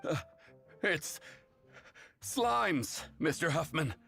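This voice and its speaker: High-voiced, anxious junior guard. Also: male voice, very animated, extremely slow, gravelly, bright, forceful tone, husky, faint music behind, heavily acted. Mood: panicked. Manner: urgent, faltering, deferential. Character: anxious junior male guard reporting to his superior. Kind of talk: video game character acting.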